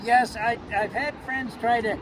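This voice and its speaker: A man's voice: extremely high pitch, fairly even intonation, unhurried, noisy background. An older man who builds wagons, telling rambling folksy stories in a casual outdoor interview. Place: outdoors by a van on a street. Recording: background music under outdoor talk.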